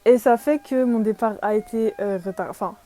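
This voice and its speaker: A young woman from France talks in a relaxed podcast-style vlog, her voice sitting a little high yet soft and mellow. She rambles with fillers and small self-mocking laughs. Faint music plays underneath. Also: normal speed. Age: young adult. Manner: chatty and self-deprecating, lots of fillers and laughs. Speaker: vlogger. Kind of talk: casual podcast-style chat.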